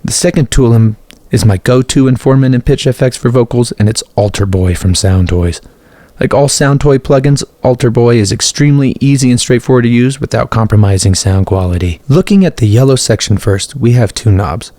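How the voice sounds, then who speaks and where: A man's voice: mid-range voice, fairly quick, warm tone, no echo. A man hosting a tutorial in a scripted narration style. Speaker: tutorial host.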